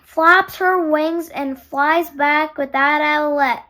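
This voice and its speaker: A very high, bright young female voice reads a picture book aloud at an unhurried pace. The delivery is sing-song and halting, as a storyteller speaking to small children. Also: fairly even intonation.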